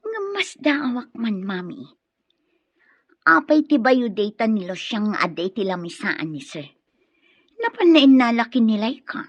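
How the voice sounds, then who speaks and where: A swooping, husky, gossipy office worker. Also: female voice, a little high, big pitch swings, a little slow, pauses often, dry recording. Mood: puzzled. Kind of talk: Ilocano radio drama acting.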